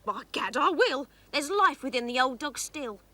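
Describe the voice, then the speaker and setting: Storybook mother, acted by a woman: older, feisty, scolding, bright and fairly high voice. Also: animated, normal speed. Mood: defiant. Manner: scolding, exclamatory. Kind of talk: rhyming fairy-tale storytelling.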